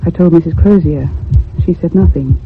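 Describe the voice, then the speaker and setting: Man acting troubled ghost story narrator, high voice, measured and earnest, tinny old radio sound. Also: close to an everyday voice. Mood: uneasy, sorrowful. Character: troubled, sensitive narrator haunted by a child ghost. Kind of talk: dramatic radio horror narration. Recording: tinny, narrow old radio recording with hum.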